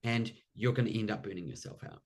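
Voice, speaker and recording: A man's voice: on the low side, fast. A man with a New Zealand accent hosting a live online workshop as a business coach in an encouraging way.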